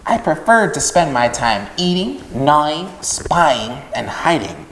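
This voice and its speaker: A man's voice: a little high, big pitch swings, slow, a bit bright, slight background noise, voice pushed up, full character voice. A man voicing a crafty, gluttonous storybook rat with a sour, disdainful manner. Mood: sour, sly. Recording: echoey room with long reverb.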